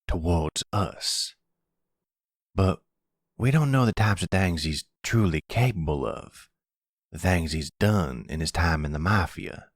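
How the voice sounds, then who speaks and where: A man voices an older father and mob boss in an intimate audio roleplay. The voice is very low and slow, earnest and gentle with a regretful, reassuring air. It sounds close and dry. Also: dry recording. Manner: measured, fatherly, earnest, soft-spoken. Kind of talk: intimate ASMR roleplay acting.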